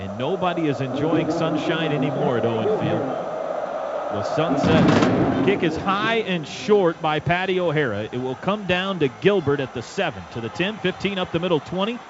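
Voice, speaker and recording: An American man does play-by-play of a football game on the radio. His voice sits somewhat high and can rise to a shout when a play breaks. The sound is dull and lo-fi, with clear noise from the stadium behind him. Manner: shouts during big plays.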